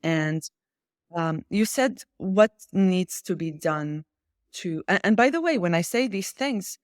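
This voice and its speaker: A woman's voice: on the low side, animated, takes it slowly, a little mellow, no room sound, studio quality. A woman from Israel speaking as an interview guest in a political discussion, challenging and insistent, repeating key phrases for emphasis.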